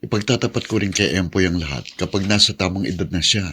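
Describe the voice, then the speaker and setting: Casting: protective Filipino dad, low and forceful, husky, troubled, radio drama. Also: male voice, quick pace, full character voice. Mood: grave. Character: troubled, firm, protective husband and father.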